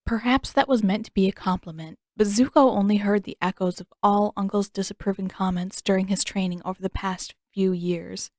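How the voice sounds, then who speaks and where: A woman narrates a scripted adventure story in a medium-pitched voice. The recording is dry and close, like an audiobook. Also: fairly even intonation, a little fast, hardly any pauses, darkish tone, dry recording.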